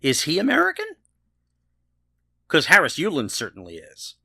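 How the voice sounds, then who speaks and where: A man co-hosting a podcast, chatting casually about a television show in a halting way, often repeating words as he starts. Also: on the high side, huge pitch swings, gravelly, pushed and bright, husky, no room sound, clean studio sound. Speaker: podcast co-host.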